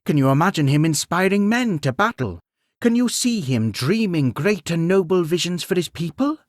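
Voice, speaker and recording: A man voicing a grieving, shrewd and kindly widowed queen, speaking with gentle but firm persuasion. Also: on the high side, lively intonation, moderate pace, dry recording, studio quality, voice pushed up, close to an everyday voice. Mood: persuasive.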